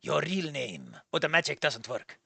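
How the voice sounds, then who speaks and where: Man putting on a low-voiced formal old dojo master. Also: huge pitch swings, gravelly, pushed and bright, breathy edge, no echo, heavily acted. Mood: politely insistent. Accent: mock Japanese. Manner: halting, formal, polite.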